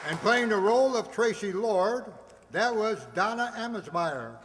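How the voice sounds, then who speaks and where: A man's voice: sits high, lively intonation, measured pace. A man acting as live announcer, introducing a cast with a jokey showman's manner. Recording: reverberant hall, audience noise.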